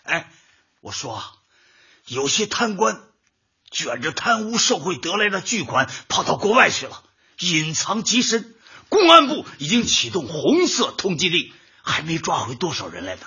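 An actor playing a pedantic, sarcastic older husband who preaches in drawn-out sayings in a radio comedy. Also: male voice, fairly high pitch, big pitch swings, sharp tone, husky, full character voice. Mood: mocking. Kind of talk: radio drama acting, light comedy. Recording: dull audio with music bed.

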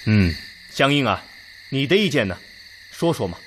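An actor in a Chinese radio drama playing a steady, plain-spoken, authoritative Red Army commander with a deliberate manner. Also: male voice, medium pitch, a little hiss or hum, natural voice. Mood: considering.

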